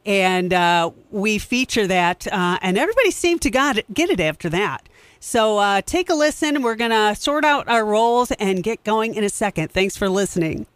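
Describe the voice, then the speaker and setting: A woman's voice: mid-range voice, sing-song, moderate pace, smooth, no room sound. A woman hosting as a theatre director, giving a casual spoken introduction to a show. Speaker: host, theatre company director.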